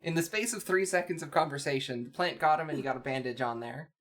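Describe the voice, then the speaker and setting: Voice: medium pitch, fast. A co-host giving casual comic commentary in a wry, hesitant, nitpicking way.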